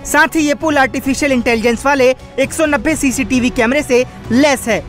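A woman's voice: sits high, normal speed, faint music behind. A woman reading a scripted news voice-over with punchy, emphatic headline delivery. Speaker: news narrator.

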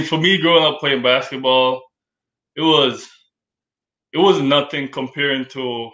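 A man's voice: medium pitch, roomy sound, low-quality recording. A young man from Jamaica with a Jamaican accent, chatting casually as an interview guest and filling his talk with "like". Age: young adult. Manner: relaxed, lots of "like" fillers. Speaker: college basketball player, guest.

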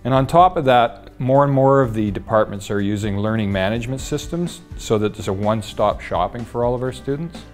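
A man's voice: mid-range voice, a little fast, hardly any pauses. A man in his fifties, a trades instructor, speaking steadily and explaining things in a studio interview on a lapel mic. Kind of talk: testimonial interview. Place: studio with dark backdrop. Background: faint guitar music.

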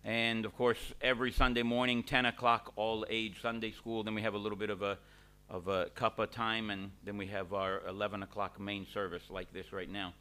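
A middle-aged man, a pastor, leading a church service from a lectern, praying and giving announcements in a relaxed, conversational way with many pauses and restarts. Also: on the low side, smooth. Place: small church hall, at a lectern. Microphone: lectern microphone.

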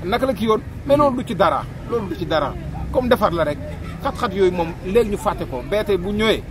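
Animated Senegalese man on a noisy street. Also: high voice, quick pace, crowd noise. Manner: animated, gestures with hands, mixes two languages. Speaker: interviewee on the street. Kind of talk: street interview opinion. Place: outdoors on a busy city street by a wall. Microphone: handheld mic up close. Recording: street traffic noise behind.

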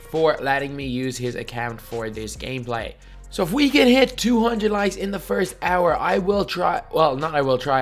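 A young man talks his way through a basketball video game in a hoarse, worn-out voice, rambling and energetic. He keeps circling back with filler phrases. Faint game music plays underneath. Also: fairly high pitch. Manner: rambling, energetic, repeats "so anyway". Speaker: gaming YouTuber. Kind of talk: casual video game commentary.

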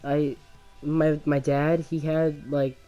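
Mellow-voiced man with a slight cough. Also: a little high, level tone, dull audio, faint music behind. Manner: halting, many restarts and fillers, laughs at himself. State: slight cough, voice worn from illness. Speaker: gamer commentating his own gameplay. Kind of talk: casual rambling story over gameplay.

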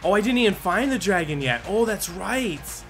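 A young man chats through his own gameplay in a slightly high voice, quick to swear and to get excited. He reads the on-screen lines aloud as he goes. Game music plays clearly underneath him. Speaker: gaming video creator. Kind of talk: casual gameplay commentary.

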